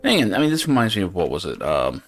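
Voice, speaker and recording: Low-voiced man casually commentating a game. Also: wildly swooping pitch, fast, soft background music. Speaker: game streamer. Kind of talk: casual gameplay commentary, reading quest text aloud.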